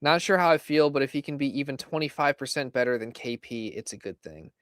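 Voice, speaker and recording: Hoarse male live-stream host, casual and chatty, mid-range pitch, dry close mic. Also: animated, brisk, a bit bright, dry recording. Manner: chatty, laughs between lines, reads out viewer comments. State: hoarse, losing his voice. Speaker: fan show host. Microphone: desk microphone.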